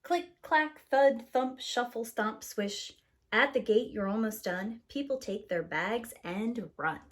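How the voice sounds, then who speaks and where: A woman's voice: on the high side, slow, slight background noise. A woman reading a children's story aloud expressively, with a rhythmic delivery and acted-out sound words. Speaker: preschool teacher reading a picture book aloud.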